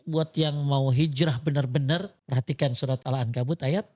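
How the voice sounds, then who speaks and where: A man's voice: mid-range voice, steady tone, moderate pace, warm tone. A man teaching Islam informally, asking questions and answering them himself with emphatic repetition. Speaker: religious teacher giving advice as a guest.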